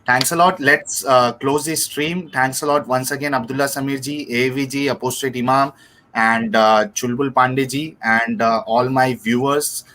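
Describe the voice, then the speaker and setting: A man with an Indian accent hosting a livestream off the cuff, speaking politely and haltingly with formal forms of address. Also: medium pitch, steady tone, pushed and bright. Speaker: livestream host.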